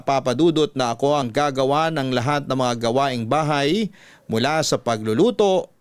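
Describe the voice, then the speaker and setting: A man's voice: mid-range voice, clear, resonant tone, no room sound. A man narrating a listener's story as a radio host, in scripted dramatic storytelling style.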